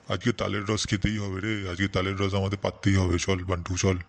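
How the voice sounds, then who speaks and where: A man voicing a gruff, impatient, scornful young sidekick in a comedy cartoon. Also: low-pitched, flat delivery, fast talker.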